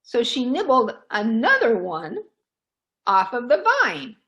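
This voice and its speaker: A woman storyteller reading aloud for children in a dramatic, deliberate, expressive way. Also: fairly high pitch, lo-fi sound.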